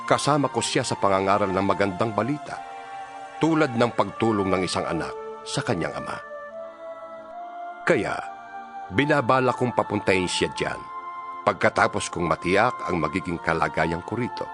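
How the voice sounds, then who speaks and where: A man's voice: fairly low pitch, expressive, music in the background, close to an everyday voice. Man acting an earnest apostle, dramatic swelling delivery. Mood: earnest, hopeful. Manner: solemn, exhorting, deliberate oratory. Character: earnest apostle exhorting his beloved flock by letter. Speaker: scripture reader. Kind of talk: dramatized audio Bible reading.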